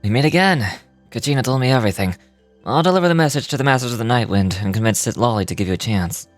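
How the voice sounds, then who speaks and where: An actor playing a calm, serious young male warrior in a video game, speaking in a measured, matter-of-fact way. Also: mid-range voice, no room sound, lightly acted. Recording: music behind.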